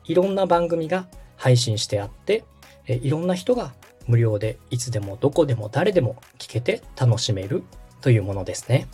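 A man's voice: mid-range voice, sing-song, fairly quick, dark tone, husky, faint music behind. A man in his thirties from Osaka hosting a podcast, explaining things politely, patiently and step by step. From Osaka, Japan. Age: 30s. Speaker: podcast host, radio director.

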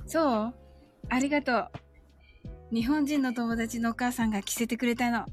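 A woman's voice: a little high, steady tone, moderate pace, soft background music, lightly acted. A woman playing a cheerful, friendly young foreign woman in a radio drama. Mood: cheerful, polite. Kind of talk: bilingual radio drama acting.

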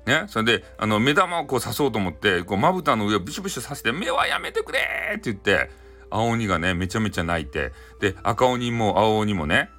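A man's voice: fairly low pitch, big pitch swings, fast talker, a bit bright, faint music behind. A man with a Hakata accent playfully retelling a folktale in dialect, improvising as he goes and throwing in sound effects. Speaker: storyteller.

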